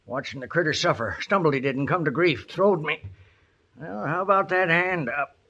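An actor playing a wily, ornery old outlaw with a broken leg in a radio western, in a folksy American Western drawl with halting exclamations. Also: male voice, on the high side, sharp tone, husky, full character voice. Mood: gruff, pained. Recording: dull, noisy old radio recording.

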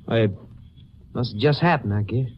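A man's voice: medium pitch, fairly quick, close to an everyday voice. An actor playing a sneering, sadistic young killer who taunts in slangy tough-guy talk in an old radio crime drama. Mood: sullen menace. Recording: muffled, noisy old radio recording.

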